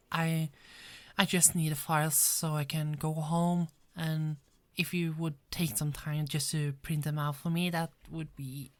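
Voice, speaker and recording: A woman's voice: low-pitched, no room sound. A woman playing a frazzled but friendly police detective in an ASMR roleplay, with a hesitant, stammering delivery that often repeats words. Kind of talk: ASMR roleplay, one-sided dialogue.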